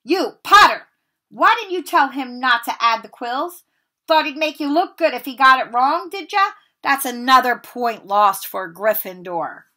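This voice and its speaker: Cold sneering male teacher put on by a woman, snapping, harsh, bright tone, storybook voice. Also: high voice, big pitch swings, normal speed, pitched above the performer's own voice, full character voice. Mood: snarling.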